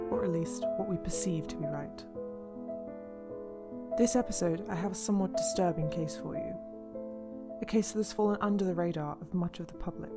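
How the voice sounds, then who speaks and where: Woman as a true-crime host, lowish, over music. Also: lightly acted. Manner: measured, ominous storytelling. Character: serious female host of a true-crime mystery show. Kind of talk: scripted narration in a radio drama. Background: music under the voice.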